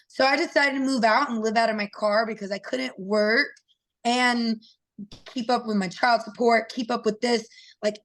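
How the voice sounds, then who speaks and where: Raspy woman in her thirties, interview guest, candid and earnest, mid-pitched voice. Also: rough recording. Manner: open and earnest, with frequent fillers. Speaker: interview guest, professional fighter. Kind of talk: candid personal storytelling.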